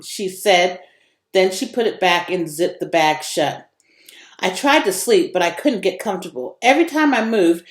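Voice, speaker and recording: A woman narrating a children's novel as an expressive read-aloud in an echoey room. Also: on the low side.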